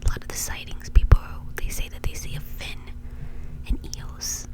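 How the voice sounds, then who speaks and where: A woman speaks in a breathy, unvoiced whisper throughout. She presents like an ASMR host telling a story, with informal, conversational phrasing. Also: normal speed.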